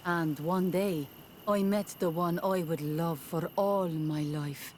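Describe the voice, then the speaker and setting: A woman playing an earnest, wary older mother from an isolated village in a video game, speaking gravely. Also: lowish voice, steady tone, slow pace, darkish tone, slight background noise. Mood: wistful. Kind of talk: video game dialogue acting.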